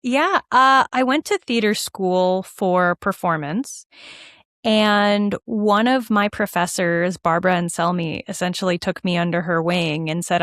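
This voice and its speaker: A woman speaking as an interview guest in a casual, animated, informal way. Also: lowish voice, dry recording, studio quality. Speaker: guest, musical theater writer and performer. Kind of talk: casual conversational interview answers.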